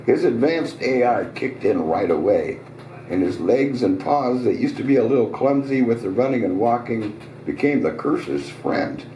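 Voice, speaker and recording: A man hosting a live reading, hoarse, telling his own short story in a relaxed, conversational way with small asides. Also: medium pitch, moderate pace, slight background noise. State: hoarse, voice getting raspy. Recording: roomy, distant restaurant recording.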